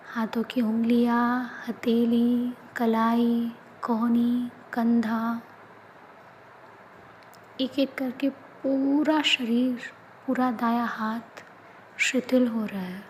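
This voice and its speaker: A woman leading a guided yoga nidra relaxation, speaking in soft, often whispered instructions. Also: a little high, a little hiss or hum, poor audio. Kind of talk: guided meditation.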